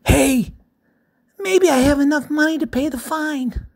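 A woman's voice: high voice, measured pace, gravelly, a little mellow, pitched above the performer's own voice. A woman voicing an anxious young boy cat in a storybook read-aloud, wailing and overdramatic. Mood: excited.

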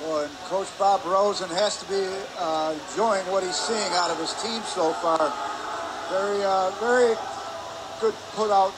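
High-pitched male hockey analyst, noisy rink. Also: measured pace. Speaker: color commentator. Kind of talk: live sports analysis. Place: indoor ice rink stands. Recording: clear rink noise behind.

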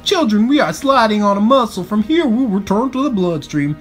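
A man voicing a brisk female schoolteacher who announces and instructs her class in a storybook. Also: high voice, lively intonation, faint music behind, voice pushed up. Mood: cheerful, instructive. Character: brisk adult female schoolteacher leading excited class trip.

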